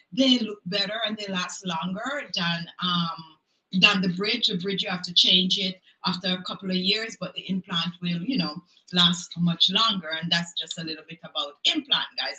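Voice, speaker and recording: Middle aged jamaican lady chatting on a livestream, really bright sharp voice, echoey room. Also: mid-range voice. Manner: chatty, repeats words for emphasis. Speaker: beauty tips host. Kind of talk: casual livestream chat, answering viewer comments.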